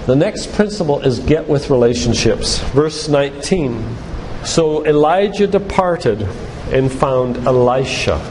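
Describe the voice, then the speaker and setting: High-pitched older man preaching slowly. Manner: deliberate, emphatic teaching with repeated phrases. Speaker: physician giving a church lecture. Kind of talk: sermon-like lecture quoting scripture. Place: live talk in a church hall. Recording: reverberant church hall, background hiss.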